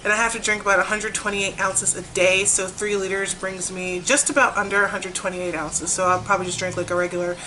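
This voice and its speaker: A woman's voice: mid-range voice, level tone, brisk, few pauses, noisy background. A young woman chatting casually in a vlog, upbeat and talkative, while she is losing her voice. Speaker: vlogger. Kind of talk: casual weight-loss vlog.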